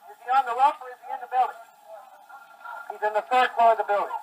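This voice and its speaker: An American man, a radio field reporter, giving urgent, excited live eyewitness commentary from a city street. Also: very high-pitched, fast talker. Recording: noisy 1950s mobile shortwave transmission.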